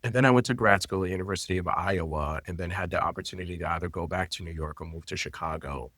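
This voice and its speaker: Low-voiced man telling his story. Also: quick pace, slightly rough, no room sound, studio quality. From Florida, United States. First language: Haitian Creole. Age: late 30s. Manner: expressive, personal, loose phrasing with frequent fillers. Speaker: guest, intimacy coordinator and teacher. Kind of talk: conversational interview storytelling.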